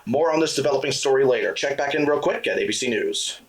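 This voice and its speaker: Man playing businesslike news anchor, mid-pitched, formal and even. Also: fast talker, natural voice. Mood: steady. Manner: formal, even newsreader delivery. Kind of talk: scripted news report.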